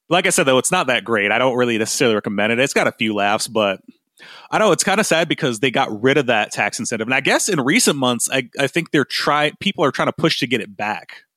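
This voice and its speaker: A man's voice: mid-range voice, pushed and bright, no room sound, studio quality. A man from Michigan co-hosting a film podcast, chatting enthusiastically and leaning on "like" and restarts. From West Michigan. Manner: rambling, enthusiastic, heavy on "like". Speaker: podcast co-host. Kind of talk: casual film discussion.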